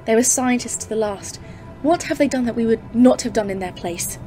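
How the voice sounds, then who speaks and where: A woman's voice: fairly high pitch, moderate pace, mellow, close to an everyday voice. A woman playing a grave, awed professor in an audio play, speaking formally and intensely as a literary horror narrator. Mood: impassioned, awed. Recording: music under the voice. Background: dramatic music.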